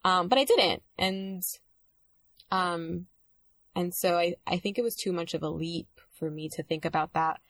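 Young woman, thoughtful and halting, slightly low voice, dry close mic. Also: a bit bright, no room sound. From Dominican Republic. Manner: reflective, hesitant, many fillers and restarts. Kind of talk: casual conversation.

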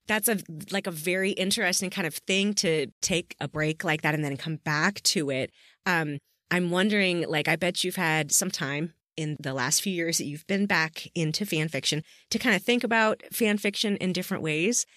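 A woman's voice: on the low side, moderate pace, fairly bright tone, no echo, studio quality. A woman hosting a podcast, chatting casually and enthusiastically with a guest as she interviews. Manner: effusive, enthusiastic.

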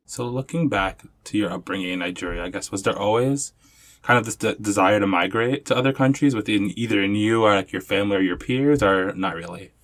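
A man's voice: fairly low pitch, a little fast, a bit bright. A man hosting a podcast, introducing and questioning his guest in a friendly, casual way with lots of "you know" and "like". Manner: friendly, uses many fillers like "you know" and "like". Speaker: podcast host. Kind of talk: casual interview chat.

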